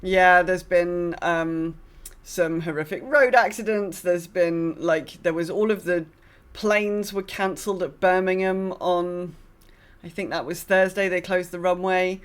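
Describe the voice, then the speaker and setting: A woman's voice: on the low side, fairly even intonation, unhurried, smooth. A British woman hosting a podcast in casual chat, rambling and hesitant as she talks. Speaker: podcast host.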